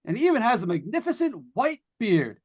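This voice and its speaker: A breezy radio ghost hunter, acted by a man in a 1940s-style radio play. The voice sits high and moves in lively swings. He addresses listeners like a folksy showman, always ready with a quip. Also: fairly quick, full character voice. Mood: showy, upbeat. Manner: chatty, folksy showman delivery. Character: breezy, joking male radio host hunting ghosts. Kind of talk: 1940s live radio drama acting.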